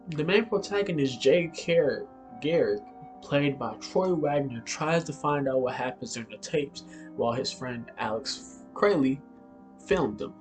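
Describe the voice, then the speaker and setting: A man's voice: on the high side, animated, unhurried. A man hosting an online video in a casual explainer style, informal and offhand, sometimes stumbling over his words. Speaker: YouTube video host. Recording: roomy echo, music behind. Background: background music.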